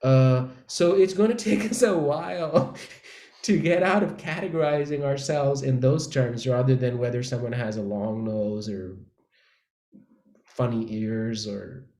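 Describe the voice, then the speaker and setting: A man speaking as a panelist in a casual discussion, halting and correcting himself as he searches for words. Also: mid-range voice, mellow. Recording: echoey room sound.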